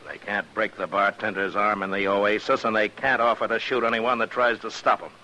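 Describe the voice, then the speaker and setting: A steady, unflinching frontier lawman with a very low, forceful, bright-edged voice. He talks plainly and with measure, as in an old radio western. There is a light hiss over the recording. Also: poor audio. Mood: firm, unyielding. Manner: plain-spoken, measured, folksy. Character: firm, weary frontier US Marshal, steady and unflinching.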